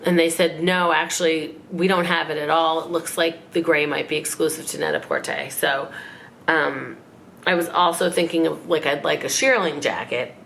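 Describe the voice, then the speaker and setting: A woman in her forties, raspy from a cold, chatting and rambling in a casual haul vlog at home. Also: low voice, steady tone, moderate pace, fairly bright tone, a little hiss or hum. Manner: chatty, rambling, self-mocking. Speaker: fashion vlogger. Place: living room at home.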